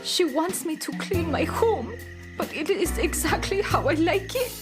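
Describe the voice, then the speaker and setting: A young beatnik cat-girl played by a woman talks slowly and deliberately, cool and a little reluctant. It is children's radio theater, with music under the voice. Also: very high-pitched, lively intonation. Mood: sulky.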